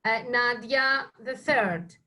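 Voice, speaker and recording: A woman's voice: on the high side, slow, pushed and bright. A woman leading a poetry reading and group discussion. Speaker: session leader reading a poem.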